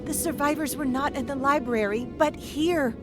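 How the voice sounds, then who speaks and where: A woman's voice: high voice, moderate pace, music in the background, close to an everyday voice. An actress playing an earnest, determined female adventurer who guides her allies in a video game. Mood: alarmed, indignant. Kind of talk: video game cutscene acting.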